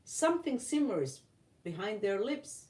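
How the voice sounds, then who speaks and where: A woman from Somalia, giving earnest opinion commentary to camera, halting with many fillers and restarts. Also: medium pitch, big pitch swings, a little mellow, distant mic. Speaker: vlogger. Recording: roomy, voice from off-mic.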